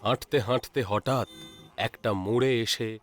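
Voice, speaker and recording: A man narrating a scripted horror story in a measured, dramatic storytelling manner. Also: mid-range voice, steady tone, a bit bright, soft background music.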